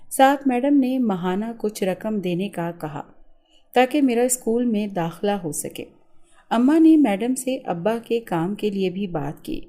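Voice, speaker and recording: A woman's voice: mid-range voice, mellow. A woman reading a scripted, emotional first-person story as a narrator.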